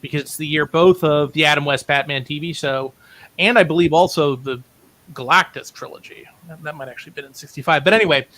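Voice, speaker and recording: A man's voice: fairly high pitch, fast, bright, forceful tone, dry recording. A middle-aged man, a writer being interviewed, telling stories casually in an informed, discursive, self-correcting way. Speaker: writer and playwright guest.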